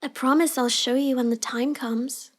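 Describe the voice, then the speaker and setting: A woman playing a shy, sweet young villainess who feigns helplessness in a halting, apologetic way for an intimate roleplay audio. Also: sits high, fairly even intonation. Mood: sweet, guarded.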